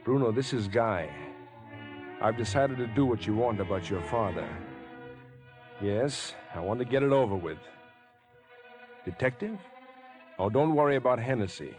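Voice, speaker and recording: An American actor playing a decent, agitated young man cornered by murder, in tense, halting, earnest 1950s radio drama style. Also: medium pitch, expressive, a little mellow, natural voice. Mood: grimly resolved. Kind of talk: 1950s radio suspense acting. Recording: vintage 1950s radio recording with music.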